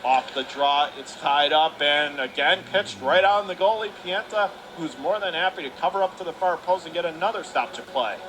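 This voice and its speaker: A man's voice: on the high side, lively intonation, normal speed, hardly any pauses, a bit bright. A man calls live ice hockey play-by-play in urgent, clipped phrases. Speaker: radio play-by-play hockey announcer. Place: indoor ice hockey arena. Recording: clearly noisy live rink recording.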